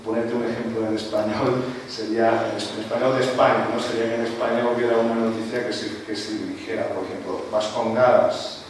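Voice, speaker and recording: A man's voice: lowish voice, level tone, fairly quick, hardly any pauses, recorded from a distance, noisy background. A man who is not a native Spanish speaker giving an academic talk to an audience, lecturing with frequent rhetorical tag questions. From Spain. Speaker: panelist. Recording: roomy hall, speaker away from mic, faint ambience.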